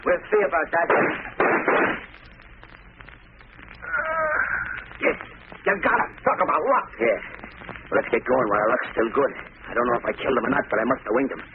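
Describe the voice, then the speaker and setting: A man's voice: mid-range voice, very animated, quick pace, close to an everyday voice. An actor playing a bossy, impatient American gangster henchman in 1930s radio drama, with clipped, slangy tough-guy talk. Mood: aggressive. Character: hard-boiled male gangster henchman, bossy, impatient, suspicious. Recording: tinny, noisy 1930s radio recording.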